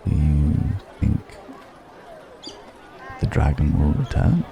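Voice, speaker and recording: Male dragon in disguise, played by a man, suave and gently mocking, extremely low and dark, for intimate fantasy ASMR with musing pauses. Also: very animated, creaky, heavily acted. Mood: musing, challenging. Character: suave, probing male dragon posing as a curious stranger. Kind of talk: ASMR roleplay, intimate dramatic monologue. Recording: background music and crowd murmur.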